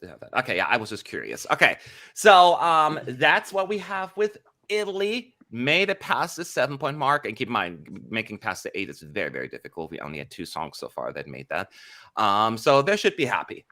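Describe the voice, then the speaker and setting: A man hosting a casual online panel chat on a video call, talking in a lively way with lots of fillers, from a home room. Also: mid-range voice, normal speed. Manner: lively, chatty, frequent "y'all" and fillers. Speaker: host. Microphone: studio mic on a video call.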